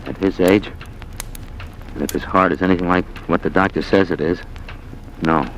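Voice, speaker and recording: An actor playing a terse, insistent, scheming nephew in a 1940s radio drama, on a vintage recording. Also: male voice, low-pitched, steady tone, normal speed, fairly bright tone, slight background noise, rough recording. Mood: cold, resolute. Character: cold, resolute scheming nephew, impatient with doubt.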